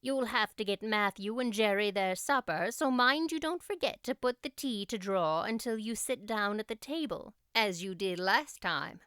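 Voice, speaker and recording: Lady doing a strict older woman for an audiobook, brisk n dry, kinda scolding, close dry sound. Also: mid-range voice, lively intonation, a bit bright, clean tone, dry recording, natural voice. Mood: disapproving, brisk. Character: stern, practical, no-nonsense older woman guardian. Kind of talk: audiobook character voice.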